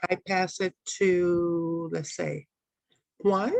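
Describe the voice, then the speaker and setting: A woman's voice: on the low side, flat delivery, extremely slow, no room sound. A woman who is a native Spanish speaker introducing herself on a group video call, chatty and loose, in short broken phrases. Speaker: community worker.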